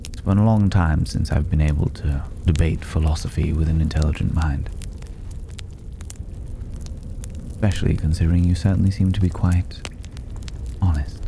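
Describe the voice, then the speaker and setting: A man voicing a mysterious, cultured recluse in a fantasy ASMR roleplay, speaking in a measured, philosophical way with breathy, whispered edges. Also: extremely low pitch. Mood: intrigued, inviting. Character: mysterious, cultured, solitary male stranger living among dragon's hoard. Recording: clear steady background noise.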